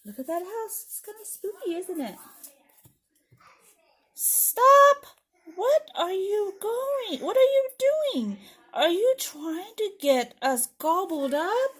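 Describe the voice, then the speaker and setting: A woman voicing a timid little trick-or-treater from a storybook, pleading in a dramatic, drawn-out way. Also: very high voice, big pitch swings, fairly bright tone, pitched above the performer's own voice, exaggerated voice. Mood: frightened pleading.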